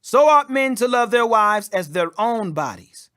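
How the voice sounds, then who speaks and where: A man reading the Bible aloud as a scripture reader, in emphatic, declamatory, measured phrases. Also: sits high, animated, bright, forceful tone, smooth. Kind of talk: audio Bible reading, King James English.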